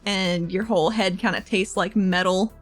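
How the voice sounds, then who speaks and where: A woman's voice: mid-range voice, fairly even intonation, moderate pace, faint music behind. A woman chatting casually as a player in a tabletop roleplaying game, informal and sweary.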